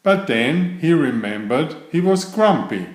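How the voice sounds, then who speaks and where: A man narrating children's picture books as a storyteller, in an expressive, measured read-aloud style. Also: fairly high pitch, roomy sound.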